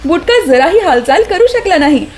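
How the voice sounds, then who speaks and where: A woman narrating a scripted children's story as an expressive storyteller. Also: very high voice, moderate pace.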